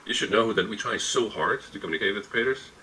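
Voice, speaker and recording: A man's voice: fairly low pitch, fast talker, pushed and bright, slight background noise. A man, an executive in an interview, gives reassuring answers full of hesitations and fillers. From Czechoslovakia. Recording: distant mic with faint ambience.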